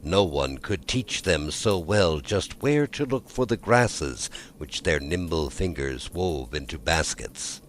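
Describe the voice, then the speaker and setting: A man narrating a scripted fairy tale as a storyteller, measured and with dramatic emphasis. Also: low voice, animated, slight background noise.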